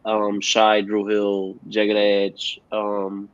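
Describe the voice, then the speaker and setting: A man with a fairly low voice answers questions in a halting way, with frequent "uh"s and "um"s. He comes through a dull, lo-fi remote connection. The audio is very dry and close. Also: monotone, smooth, no echo. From Germany. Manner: halting, lots of fillers. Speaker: guest musician. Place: at home in Germany, joining remotely.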